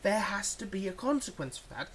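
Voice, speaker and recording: A performer playing a theatrical supervillain, menacing yet exasperated and softhearted, in a one-sided ASMR roleplay spoken straight to the listener. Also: mid-range voice, sing-song, brisk, breathy edge. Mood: indignant, menacing. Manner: dramatic, swinging between threats and hushed soothing.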